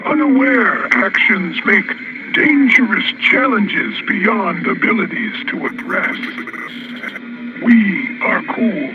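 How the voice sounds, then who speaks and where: A scornful alien speaks in a high, unhurried voice with clipped, article-free phrases. The sound is dull and clearly noisy, like a radio transmission in a science fiction drama, and the delivery stays cold and deliberate. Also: male voice. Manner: slow, deliberate, clipped grammar without articles. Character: cold, scornful alien Martian narrator plotting against humans.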